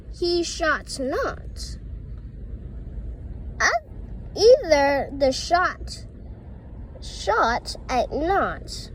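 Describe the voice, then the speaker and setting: A woman reading playful rhymes and tongue twisters aloud in a halting way, often stumbling over words. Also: high-pitched, a little hiss or hum, poor audio. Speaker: reader of children's poems.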